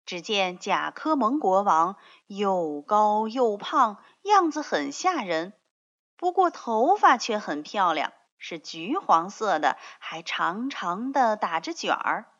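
Woman telling children's stories, slow and lively. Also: mid-range voice, muffled recording. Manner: expressive, drawn-out phrasing for children. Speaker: children's storyteller. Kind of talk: scripted storytelling for small children.

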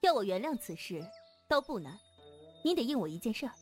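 An actress plays an imperious woman ruling a martial sect in a wuxia audio drama. Her voice is fairly high and very animated, blunt and mocking with tenderness underneath. Faint music plays behind. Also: fairly quick. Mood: commanding. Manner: haughty, blunt, commanding. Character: imperious, teasing female martial sect leader, proud, secretly tender.

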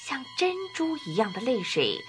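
A woman narrating a scripted children's story in an expressive, deliberate way. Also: a little high. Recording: music behind the narration.

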